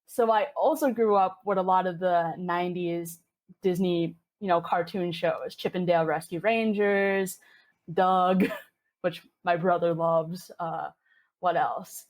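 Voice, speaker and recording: 20s woman from hong kong, low-ish voice, gushes about stuff she loves, lots of um and uh. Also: steady tone, measured pace, darkish tone. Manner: chatty, enthusiastic, many fillers. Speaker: podcast guest, cosplayer. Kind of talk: casual interview chat.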